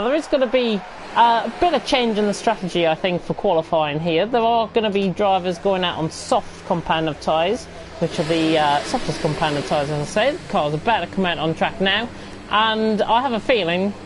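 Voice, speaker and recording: A woman commentating live on an online racing league, croaky with a flu and rambling with frequent self-corrections. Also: medium pitch, background noise. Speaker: race commentator.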